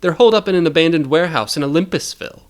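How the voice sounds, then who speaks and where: A man's voice: fairly high pitch, rapid-fire, voice pushed up, lightly acted. A man voicing an officious, ruthless male handler of a hired assassin in an audio story, businesslike and scornful. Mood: contemptuous.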